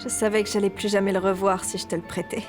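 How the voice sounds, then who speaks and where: A woman playing a reserved, uneasy teenage schoolgirl in a French video game dub, soft-spoken and hesitant. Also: medium pitch, little pitch movement, fast talker, close to an everyday voice. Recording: music behind the voice.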